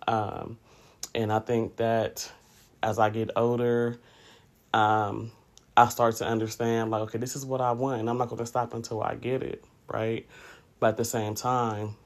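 A man in his late thirties hosting a podcast, talking candidly in a casual, reflective monologue with conversational asides. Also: medium pitch. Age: late 30s. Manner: candid, conversational, often says "you know". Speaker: podcast host.

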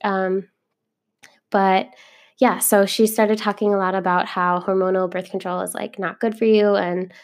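A young woman talks casually into her podcast mic, filling her sentences with ums and likes. Her voice has a gravelly fry and stays fairly flat in pitch, and she sounds a little sniffly from a cold. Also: unhurried. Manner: chatty, filler-heavy, frequent ums and likes. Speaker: podcast host. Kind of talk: casual solo podcast monologue.

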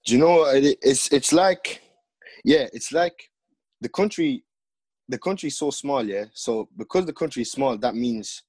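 A young man from the Netherlands, a music artist and podcast guest, telling stories in relaxed, slangy casual chat with lots of fillers. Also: mid-range voice, normal speed, breathy edge, dry recording.

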